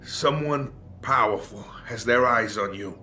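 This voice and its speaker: Wounded, bitter investigator, sharp-edged voice. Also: male voice, mid-range voice, slight background noise. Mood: weakened, urgent. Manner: halting, sardonic, repeating himself. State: in pain, slurring and faltering. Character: gravely wounded, bitter, foul-mouthed older investigator on morphine. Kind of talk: dramatic video game acting.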